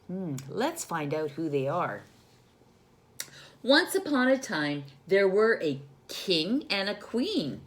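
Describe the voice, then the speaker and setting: Female children's storyteller, teacherly, highly varied intonation, unhurried delivery, speaks straight to the kids. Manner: expressive, teacherly, often addressing the young listeners directly. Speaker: storyteller reading a picture book to children. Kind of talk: children's read-aloud with questions to the listeners.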